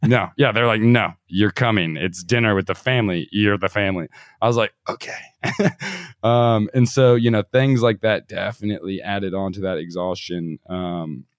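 A man's voice: fairly low pitch, normal speed, no echo, studio quality. A man who is a guest on a podcast, talkative and telling stories in casual conversation with frequent laughs.

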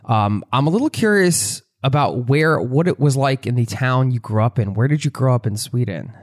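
A man's voice: mid-range voice, expressive, moderate pace, no echo, studio quality. A man hosting a podcast, asking friendly, rambling questions and chuckling often. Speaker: podcast host. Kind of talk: casual interview talk.

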